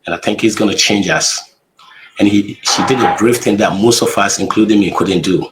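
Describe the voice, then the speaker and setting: Low-voiced man hosting, rambling. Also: normal speed, noisy background. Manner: rambling, restarts questions, friendly. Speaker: host. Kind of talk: casual interview hosting.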